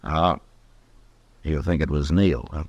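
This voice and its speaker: An actor playing a steady, fair-minded frontier marshal with an American accent in an old-time radio western. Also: male voice, a bass voice, slow pace, dry recording, dull audio, exaggerated voice. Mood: firm, questioning.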